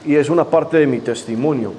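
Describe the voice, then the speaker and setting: A man's voice: fairly high pitch, brisk, dark tone, a little hiss or hum. A man leading a church service, first praying fervently with repeated invocations, then telling a personal story in a loose, conversational way. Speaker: pastor. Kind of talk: sermon with opening prayer and testimony.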